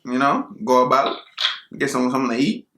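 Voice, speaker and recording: A man's voice: medium pitch, a little fast, bright, forceful tone. Man, fake Jamaican patois, teasing, flirty, roomy echo. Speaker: prank video host. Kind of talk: putting on a Jamaican accent on a chat app.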